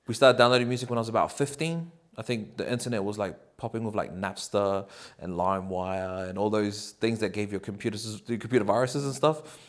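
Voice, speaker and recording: A man, answering interview questions in a relaxed, rambling way with many ums and likes. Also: fairly low pitch. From New Zealand. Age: 30s.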